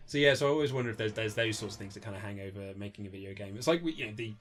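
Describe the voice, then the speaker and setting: A British man being interviewed as an author, chatting casually in a rambling, animated way and often acting out quoted remarks. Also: racing. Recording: low-budget recording with music underneath.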